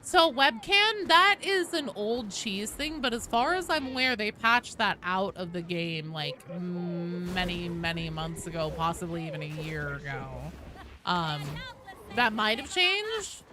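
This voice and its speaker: Woman streamer chatting over clear background noise. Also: on the low side, lively intonation, unhurried, bright, forceful tone. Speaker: game streamer. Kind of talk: casual live-stream chat, answering viewers.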